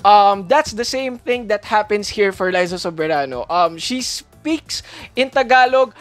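A man's voice: high voice, dry recording. A young man with a Filipino accent speaks frankly and earnestly, reviewing a show as co-host. He talks over a video call through earphones. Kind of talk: casual review chat. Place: home room, video call.

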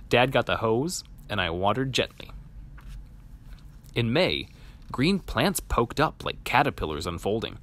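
A man reading a children's picture book aloud in an animated, expressive storytelling manner. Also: fairly low pitch. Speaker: storybook reader.

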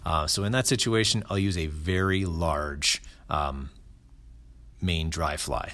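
A middle-aged man talks through his fishing gear in a very low, deep voice. He speaks in a relaxed, casual way, often pausing with ums and restarting his sentences. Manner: relaxed, many fillers and restarts. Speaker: fly fishing channel host. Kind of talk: casual gear explainer voice-over.

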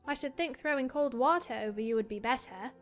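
A woman acts out a young storybook girl, polite and inquisitive. Her voice is high and matter-of-fact, with light music behind it. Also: a little fast, natural voice. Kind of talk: dramatized audiobook acting.

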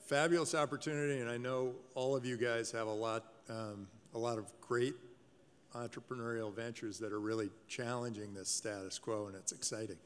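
A man on a conference panel, speaking with enthusiasm and many pauses and fillers. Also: medium pitch, fairly even intonation. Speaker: venture investor panelist. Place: large conference hall stage. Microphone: table mic. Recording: hall reverb, faint room noise.

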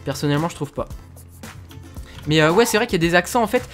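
A man's voice: fairly high pitch, animated, fast talker, a bit bright, soft background music. A man from France chatting casually and laughing as he plays a video game. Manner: chatty, laughs often, fillers. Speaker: gaming youtuber. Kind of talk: casual gaming commentary.

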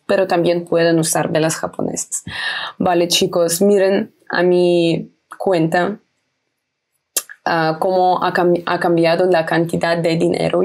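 Husky-voiced woman walking through a trading screen. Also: lowish voice, darkish tone. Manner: simple phrasing, repeats herself, frequent self-corrections. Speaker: trading video host. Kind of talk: casual screen-recorded tutorial. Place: indoors at home, webcam in corner.

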